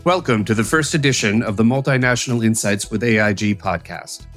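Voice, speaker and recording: Guy hosting a podcast, formal and measured, kinda low voice, quiet music behind. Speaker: podcast host. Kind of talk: scripted interview questions.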